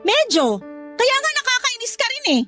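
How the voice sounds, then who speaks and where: A woman's voice: extremely high pitch, wildly swooping pitch, moderate pace, full character voice. An actress playing an outspoken, loyal young sister in an audio drama, who scolds her brother bluntly, comforts him tenderly, and narrates her own letter. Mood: scolding. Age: young adult. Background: soft music under dialogue, louder music under narration.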